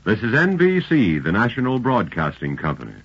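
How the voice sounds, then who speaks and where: A male network announcer from 1940s radio, giving a crisp, sing-song station identification. His voice is husky, and its pitch swings widely. The recording is dull and lo-fi. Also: wildly swooping pitch, fairly quick.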